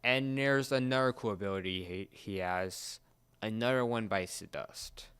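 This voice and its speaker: Young male co-host, lowish smooth voice, casual explainer with halting phrasing. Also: a bit bright. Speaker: podcast co-host. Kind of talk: casual pop culture discussion.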